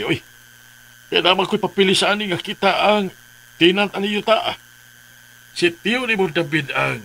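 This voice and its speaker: A man's voice: fairly high pitch, pushed and bright, a little hiss or hum, full character voice, rough recording. An actor playing a stubborn older tenant farmer and father in a radio drama, with a Cebuano Filipino accent. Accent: Filipino, Cebuano-speaking.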